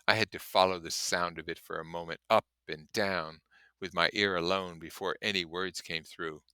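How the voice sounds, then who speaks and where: A man's voice: low voice, steady tone, normal speed, bright, forceful tone, smooth, no echo. A man reading a story aloud in an audiobook style, with casual commentary of his own. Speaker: reader and commentator.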